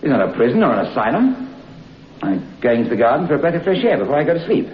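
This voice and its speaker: An actor playing an irritable, haughty, agitated hotel guest in a 1960s radio horror play, with an indignant, blustering manner. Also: male voice, medium pitch, big pitch swings, fast talker, lightly acted. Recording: dull, echoey old radio recording.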